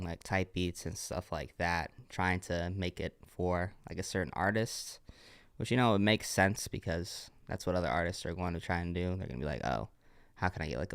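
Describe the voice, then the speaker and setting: A man's voice: deep voice, vocal fry, clean tone, no echo. A young man with a congested voice, giving laid-back, encouraging feedback on music submissions during a livestream. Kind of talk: casual livestream commentary.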